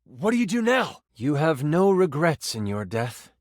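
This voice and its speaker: Game master for a video game: higher-pitched, very animated, eager and geeky, dramatic fantasy narration. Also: male voice, a little slow, dry recording, clean studio sound. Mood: dramatic narrating. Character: excitable, encouraging male tabletop game master, a personified die. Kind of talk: dramatic tabletop fantasy narration with playful asides.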